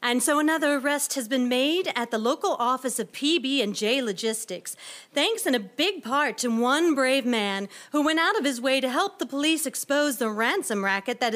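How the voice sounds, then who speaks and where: A woman's voice: high-pitched, a bit bright. A woman playing an earnest, formal television news reporter in a radio comedy. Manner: announcing, formal, dramatic.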